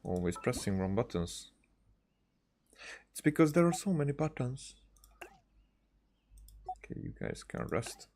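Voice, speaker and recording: A man's voice: medium pitch, big pitch swings, measured pace, gravelly, long pauses, darkish tone, slight background noise. A man doing casual live game commentary, hesitant and thinking aloud as he goes. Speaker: video game streamer.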